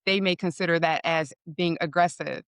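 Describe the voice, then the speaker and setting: A woman guest with a deeper voice, explaining in a measured way and often checking with "right?". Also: fairly even intonation, clear, resonant tone, no echo, studio quality. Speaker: podcast guest. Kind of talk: casual interview conversation.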